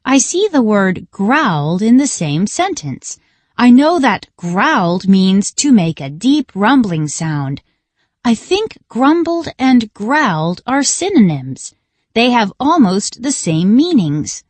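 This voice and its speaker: A woman reading a scripted children's reading lesson in a deliberate, instructional manner. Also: a little high, very animated, dry recording. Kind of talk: scripted educational read-along narration for children.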